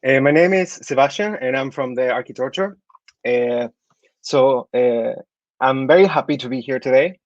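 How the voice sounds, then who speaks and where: A man's voice: mid-range voice, normal speed, no echo. Chilean guy in his 30s talking thru portfolio tips, lots of uhs, dry muffled mic. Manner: hesitant, many fillers and restarts. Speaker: architect giving portfolio advice. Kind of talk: online talk with slide review.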